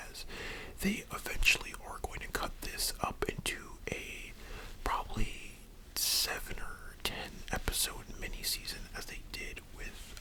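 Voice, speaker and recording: An ASMR host speaking in a breathy whisper, chatting casually and rambling as they address the listener directly. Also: low-quality recording. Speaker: host of an ASMR discussion channel. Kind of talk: whispered ASMR chat, casual movie discussion.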